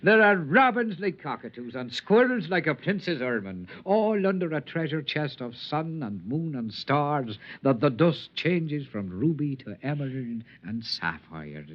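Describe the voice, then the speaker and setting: An actor playing a whimsical, charming Irish outcast in a 1950s radio drama, speaking in lilting, poetic, theatrical phrases. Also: male voice, a little high, sing-song, measured pace, hardly any pauses. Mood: dreamy, poetic. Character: whimsical, lyrical, charming male wanderer, a sprightly radiation-touched outcast. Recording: dull vintage 1950s radio recording.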